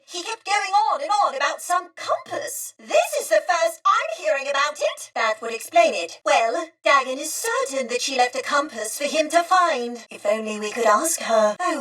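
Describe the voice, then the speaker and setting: A polite fussy lady droid for a game, really high voice, old-timey proper wording, bit off the mic. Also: pushed and bright, far from the mic, heavily acted. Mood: fretful, puzzled. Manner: formal, polite, genteel old-fashioned phrasing. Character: prim, courteous, fretful female droid of a bygone era. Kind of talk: video game character dialogue.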